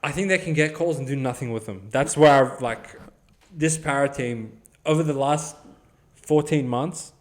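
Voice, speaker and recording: A man's voice: medium pitch, measured pace. Blunt, sweary Australian man talking footy. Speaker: sports podcast host. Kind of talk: casual sports banter and match preview.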